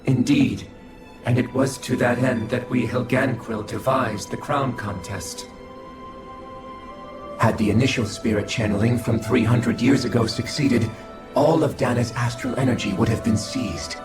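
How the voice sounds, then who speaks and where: A man's voice: mid-range voice, fairly even intonation, close to an everyday voice. Man voicing a detached alien scholar, echoing. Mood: clinical, detached. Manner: formal, measured, clinical. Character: detached alien scholar, coldly logical, formal. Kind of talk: video game cutscene acting. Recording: echoing voice over game music.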